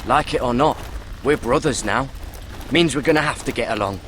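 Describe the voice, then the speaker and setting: An actor playing a steady, stubborn young ranger recruit in a fantasy video game, blunt and level-headed. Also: male voice, medium pitch, moderate pace, a little hiss or hum, lightly acted. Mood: firm.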